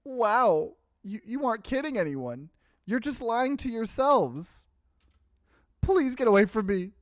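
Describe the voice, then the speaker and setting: Man acting as frightened small guy, high voice, timid, halting stammer, horror game character. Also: sing-song, dry recording, studio quality, full character voice. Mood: hurt, accusing. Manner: stammering, hesitant.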